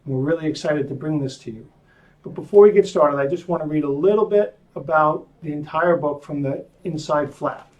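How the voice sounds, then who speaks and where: A man's voice: fairly high pitch, brisk, darkish tone. A man, a school superintendent, introducing a children's book and reading its summary aloud.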